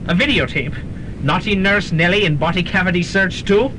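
A man's voice: moderate pace, natural voice. A man playing a scheming, brash and commanding villain in a student radio drama. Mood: cocky. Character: scheming, cocky male villain plotting conquest. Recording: noisy rumbling student radio recording.

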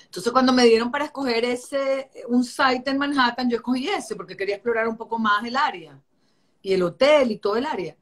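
Middle-aged Caracas-accented woman, slightly high voice, lively storyteller on a video call. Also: fairly quick. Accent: Caracas Venezuelan. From Venezuela. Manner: animated, chatty storytelling with many restarts. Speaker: architect interviewee. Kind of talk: casual interview. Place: home room.

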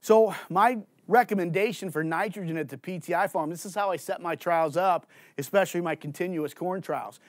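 Energetic man presenting on stage. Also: high-pitched, fairly quick, few pauses, dry recording, studio quality. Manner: energetic, asks and answers his own questions; gestures and walks on stage while talking. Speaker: farm agronomist presenting trial results. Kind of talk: conference presentation. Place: indoor studio stage with green curtains. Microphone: headset mic.

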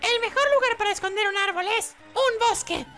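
Very high, solemn ninja boy. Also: a little fast, bright, forceful tone, faint music behind. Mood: sage, secretive. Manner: dramatic, solemn declaiming. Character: solemn young ninja boy trainer speaking in shadowy proverbs. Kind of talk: reading video game dialogue in a put-on voice.

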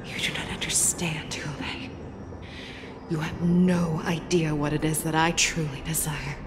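An actress playing a proud young female fox-eared warrior general in a game, speaking calmly and firmly. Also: low-pitched, unhurried, noisy background. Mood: somber. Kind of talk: game voice acting. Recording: loud orchestral score behind.

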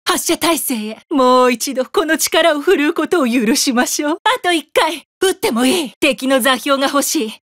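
A woman's voice: high voice, animated, moderate pace, few pauses, fairly bright tone, no echo, studio quality. A woman playing an imperious, megalomaniac commander of a secret satellite superweapon in a video game, with a haughty, theatrical, commanding delivery. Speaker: military satellite weapon operator. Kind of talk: dramatic Japanese video game voice acting.

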